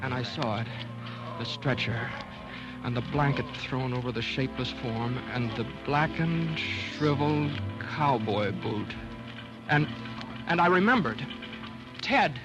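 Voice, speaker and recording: An actor with an American accent playing a troubled, lovelorn, guilt-ridden doctor in an old radio drama, hesitant and stammering. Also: male voice, mid-range voice, measured pace, lo-fi sound, over music, lightly acted. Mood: haunted narration. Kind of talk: 1940s-50s radio drama acting.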